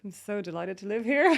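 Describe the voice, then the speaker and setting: A woman's voice: a little high, creaky, slight background noise. A woman from France with a mixed international accent, a podcast guest who talks in a chatty, casual way, using lots of "like" and "um". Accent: mixed international, slightly Irish-tinged English. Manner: chatty, with frequent "like" and "um", laughing easily. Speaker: guest, author and yoga teacher.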